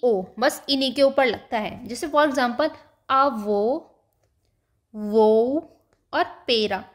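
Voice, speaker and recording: A woman teaching a beginners' language lesson over slides, patiently slowing down to sound out each example word and mixing English terms into her explanations. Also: high voice, fairly bright tone. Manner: patient, slows down to spell out example words.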